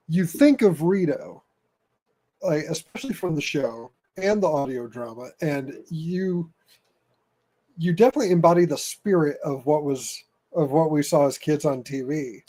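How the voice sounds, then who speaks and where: A man hosting a podcast in casual interview chat, informal and halting as he thinks aloud. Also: a little high, a little mellow. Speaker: podcast host.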